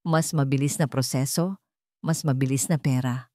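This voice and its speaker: A woman narrator reading a scripted dramatic story in deliberate, short phrases. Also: a bass voice, fairly even intonation, mellow, no room sound, studio quality.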